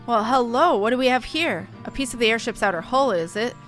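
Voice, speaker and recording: An earnest fantasy-game airship engineer, voiced by a woman, with a fairly high voice and wordy, old-fashioned turns of phrase. The lines are read as game dialogue over music. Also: expressive, fairly quick, a bit bright. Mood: inquisitive.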